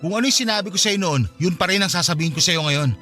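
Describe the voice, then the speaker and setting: A man's voice: sits high, expressive, quick pace, bright, forceful tone, faint music behind. An actor playing a callous married man in a radio drama, defensive and scolding as he rejects his former mistress. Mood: scolding, blaming. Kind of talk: Tagalog radio drama acting.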